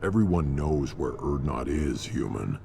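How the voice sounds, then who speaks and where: A gruff alien warrior commander from a video game, with a rock-bottom voice. He is blunt and battle-hardened, gravelly in tone, and heard in a long reverberant space with noise behind him. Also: very deep voice, lively intonation, measured pace, mellow, heavily acted. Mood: gruff, matter-of-fact. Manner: blunt, matter-of-fact.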